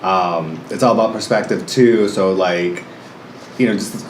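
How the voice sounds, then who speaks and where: A man in his thirties chatting casually as a guest, animated and laughing often, with many fillers. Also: low voice, unhurried, slight background noise. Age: 30s. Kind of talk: casual chat. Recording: roomy indoor echo with faint ambience.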